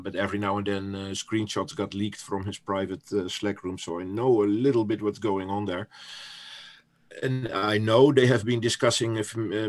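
A man from the Netherlands with a Dutch accent, a non-native English speaker, talking casually as a guest with many hesitations and restarts. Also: lowish voice. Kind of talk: casual podcast conversation.

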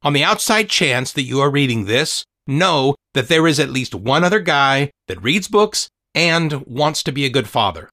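A man narrating his own book as an author and podcast host, in an earnest, measured, motivational way. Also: mid-range voice, very animated, bright, forceful tone, no room sound, studio quality. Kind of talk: scripted audiobook narration.

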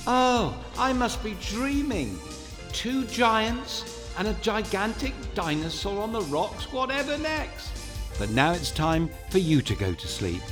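A man's voice: high-pitched, very animated, unhurried. A man narrating a scripted children's story in a playful, theatrical manner, speaking directly to young listeners. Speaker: storyteller and host of a children's bedtime podcast. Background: music.

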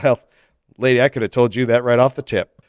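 A man's voice: medium pitch, steady tone, brisk, clean tone, no room sound, clean studio sound. A man co-hosting a comedy podcast, reading listener mail aloud in a casual, joking way and laughing often. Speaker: podcast co-host.

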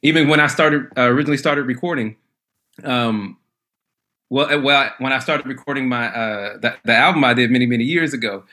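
An easygoing man from Michigan talks casually as an interview guest. His voice is bright and forward at a middling pitch, and he rambles and restarts his sentences as he goes. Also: brisk. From Lansing, Michigan, USA. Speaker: guest, a cappella artist. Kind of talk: casual conversation.

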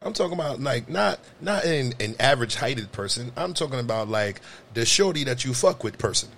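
A man hosting a podcast, joking crudely and loudly, swinging his voice high when he riffs. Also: expressive, a little fast, creaky. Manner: loud, profane, joking, swings pitch high when riffing. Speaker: podcast host. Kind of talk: crude comedic banter.

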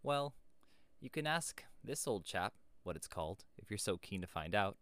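A man's voice: fairly low pitch, big pitch swings, normal speed, fairly bright tone, clear, resonant tone, no room sound. A man voicing a resourceful, cautious schoolboy scout in a storybook, matter-of-fact and slightly defensive. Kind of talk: storybook character voice.

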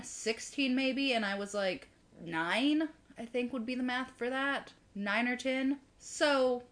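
A woman's voice: on the high side, fairly bright tone. Wry woman telling a casual story. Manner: wry, self-deprecating humor. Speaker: video co-host.

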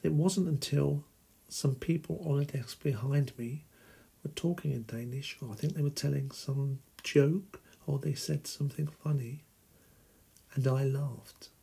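Slow gravelly-voiced podcast host. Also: male voice, mid-range voice, fairly even intonation, dark tone. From England. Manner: slow, deliberate and plain. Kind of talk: slow solo podcast monologue.

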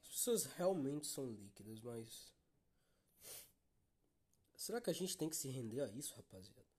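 A man's voice: medium pitch, very animated, moderate pace, a little mellow, husky. A young Brazilian man with a Brazilian Portuguese accent hosting a solo podcast, talking in a weary, downcast way with heavy swearing and calling his listeners "guys". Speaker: podcast host. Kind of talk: solo confessional podcast monologue.

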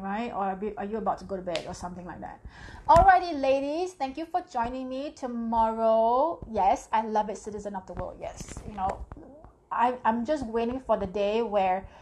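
A woman's voice: medium pitch, a little mellow, slight background noise, rough recording. A woman from Singapore, hosting a casual spiritual live talk and chatting through viewer comments. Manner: chatty, reads viewer comments aloud, tags "right?". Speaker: live stream host.

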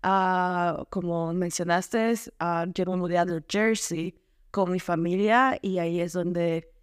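A woman's voice: on the low side, steady tone, slow, clear, resonant tone, no echo, clean studio sound. A woman with an Ecuadorian accent telling her story casually as a podcast guest, often searching for words. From Quito, Ecuador.

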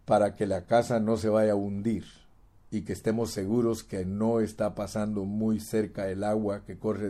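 A man preaching with a dark, mellow voice, stressing his points by repeating words. He keeps turning to the listener as brother, rising in force at key lines. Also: fairly low pitch. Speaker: pastor. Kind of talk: sermon. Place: United States.

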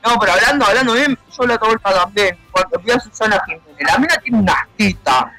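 A man's voice: high-pitched, fast, pushed and bright, dry recording, faint music behind, poor audio. A man co-hosting a radio show, joking crudely in casual banter.

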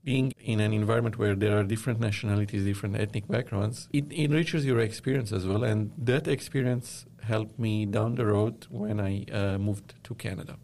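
A man from Lebanon answering interview questions, speaking in a measured way and often pausing with "uh". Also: on the low side, vocal fry, a little mellow, a little hiss or hum. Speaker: guest, media company founder.

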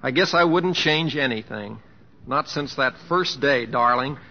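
An actor playing a humble, affectionate middle-aged American cowboy humorist with homespun, hesitant phrasing in an old radio drama. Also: male voice, mid-range voice, unhurried. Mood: easygoing, affectionate. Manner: homespun, drawling folksy phrasing with gentle hesitations. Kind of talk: 1950s radio drama acting. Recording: muffled old radio recording, hiss, long reverb.

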